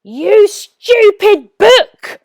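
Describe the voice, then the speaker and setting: A menacing, bad-tempered wizard from a children's storybook, acted out by a woman. He gloats theatrically, drawing words out slowly, with big swoops in pitch, in a close, dry recording. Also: expressive, creaky, dry recording, heavily acted. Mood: furious. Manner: theatrical, menacing, drawn-out delivery.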